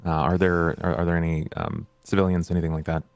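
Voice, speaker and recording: A man playing a tabletop game, asking casual, halting questions of the game master. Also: deep voice, level tone, a little mellow, clear, resonant tone, no room sound.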